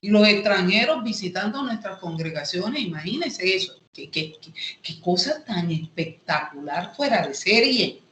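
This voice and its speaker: A woman's voice: medium pitch, animated, fairly bright tone, a little hiss or hum. Colombian coastal woman teaching, echoey room. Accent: Colombian Caribbean coast. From Caribbean coast of Colombia. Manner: halting, repeats phrases as she explains. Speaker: Bible seminary teacher. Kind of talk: online Bible lesson, explanatory teaching. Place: indoor room, online class. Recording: echoey room, online call audio.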